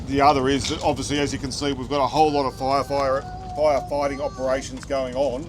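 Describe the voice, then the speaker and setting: Aussie police inspector, mid pitch, calm plain explaining outside, heavy noise behind. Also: male voice. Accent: Australian. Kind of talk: outdoor community briefing. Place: outdoors, near firefighting operations. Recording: outdoor recording with loud background noise.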